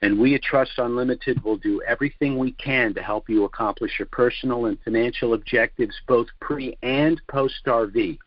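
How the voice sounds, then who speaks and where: An older man giving a formal, deliberate sales presentation as the host of a financial conference call. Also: mid-range voice, no echo. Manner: deliberate, formal, measured phrasing. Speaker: financial trust consultant hosting a conference call. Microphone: telephone conference call. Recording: muffled lo-fi conference call audio.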